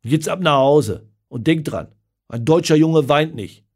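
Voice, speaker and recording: A man's voice: on the high side, measured pace, husky, voice pushed up. A man voicing a stern middle-aged father who scolds his son curtly. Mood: angry stern. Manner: curt, scolding.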